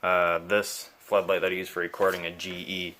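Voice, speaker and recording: A man giving casual, unscripted commentary as he repairs a vintage radio, thinking aloud in a halting, musing way. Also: deep voice, flat delivery, bright, forceful tone.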